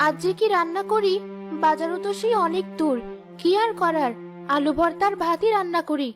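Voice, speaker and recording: A protective ghost mother from a children's cartoon, acted by a woman. Her voice is extremely high, with clear music underneath. She fusses and mutters to herself, doting on her child and ready to avenge her. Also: normal speed. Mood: caring, fussing. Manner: fussing over her child, talking to herself. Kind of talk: children's cartoon story acting.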